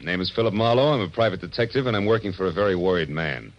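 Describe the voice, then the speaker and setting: A man's voice: low-pitched, expressive, fast. An American man playing a hard-boiled, sardonic private detective in a 1940s radio noir drama, with terse, wisecracking delivery. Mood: sardonic, pressing. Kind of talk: 1940s radio noir acting and first-person narration. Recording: muffled vintage radio recording with music.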